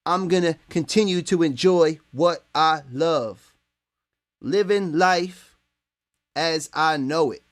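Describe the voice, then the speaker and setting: A man's voice: a little high, slow pace. Laid-back man in his late twenties, musing. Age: late 20s. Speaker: podcast host. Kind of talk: casual chat.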